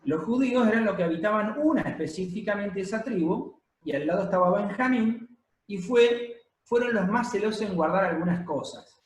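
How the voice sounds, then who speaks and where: A man preaching a sermon to a congregation, explaining at a measured pace with many pauses and fillers. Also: sits high, lively intonation. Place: church hall, congregation present and streamed. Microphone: handheld or stand microphone. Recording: echoey room.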